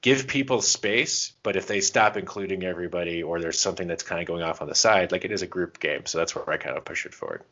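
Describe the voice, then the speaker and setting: A man co-hosting a podcast, chatting casually and telling stories by acting out quick bits of dialogue. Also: low voice, fairly bright tone, muffled recording. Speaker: podcast co-host. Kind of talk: casual chat about tabletop roleplaying.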